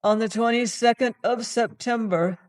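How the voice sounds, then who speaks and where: A reader voicing a terrified guilty male clerk who pleads in a frantic, high-strung way. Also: moderate pace, natural voice. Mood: broken.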